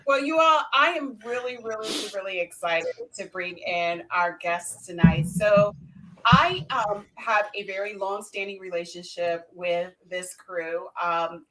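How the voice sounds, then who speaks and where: A woman hosting an online talk show with excited introductions and banter, emphatically repeating words for effect. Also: lowish voice, low-quality recording.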